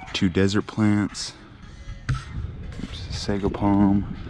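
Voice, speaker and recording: A young man vlogging outdoors about his yard work, talking easygoingly to his viewers and walking them through each step. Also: deep voice. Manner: easygoing, talks to viewers as "guys". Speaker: landscaping vlogger. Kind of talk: casual vlog narration. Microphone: action camera on body. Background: music.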